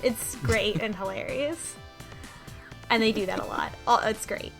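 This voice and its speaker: A woman co-hosting a casual podcast, recapping a video game in an animated, amused way. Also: mid-range voice, measured pace, vocal fry, faint music behind. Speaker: podcast co-host.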